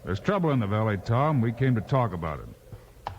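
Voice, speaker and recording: A man playing a calm, confident lawman hero, measured and commanding, in a 1950s radio Western. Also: fairly low pitch. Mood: businesslike. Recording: muffled, noisy 1950s radio recording.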